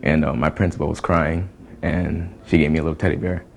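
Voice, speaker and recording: A young man talks through his memories in an interview. His voice is extremely deep and thick with vocal fry. He speaks at a fast pace. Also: level tone. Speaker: interviewee recalling childhood. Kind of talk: personal recollection in a news interview.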